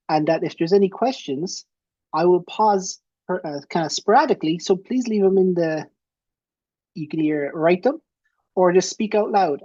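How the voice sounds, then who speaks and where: A man's voice: on the high side, a little mellow, dry recording, muffled recording. A young man with an Irish accent giving a chatty, friendly webinar presentation from a small room at home, speaking into a headset. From Ireland. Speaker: presenter and software founder.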